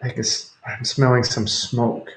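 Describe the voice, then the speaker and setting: A man's voice: mid-range voice, flat delivery, unhurried, a bit bright. A man, a Cantonese speaker, chatting casually and hesitantly as he hosts a livestream, rambling and thinking aloud. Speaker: livestream host. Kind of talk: casual live chat with viewers. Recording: roomy, echoing home recording.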